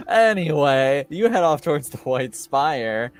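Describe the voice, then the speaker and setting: A man running a tabletop role-playing game as game master, describing scenes in a relaxed, easygoing way. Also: a little high, slow, soft background music. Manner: relaxed, easygoing, many fillers.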